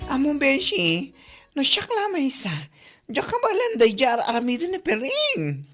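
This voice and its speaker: A woman playing an animated, high-voiced Ilocano gossip. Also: unhurried, soft background music, exaggerated voice. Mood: scornful gossip. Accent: Filipino, Ilocano. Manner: exaggerated, gossiping. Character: gossipy, mocking female coworker. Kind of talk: comedy drama acting.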